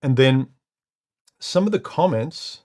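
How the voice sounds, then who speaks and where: A man with a deep, somewhat muffled voice hosting a live stream from home into a microphone, talking casually and haltingly, repeating words and filling pauses with uh and um. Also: animated, a little mellow. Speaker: live stream host reading comments. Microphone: studio microphone.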